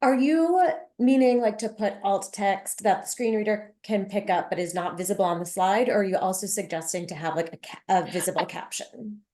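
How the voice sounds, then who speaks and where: Polite woman with a mellow voice. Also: mid-range voice, moderate pace, few pauses. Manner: polite, thoughtful questioning. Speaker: webinar attendee asking a question. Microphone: video call.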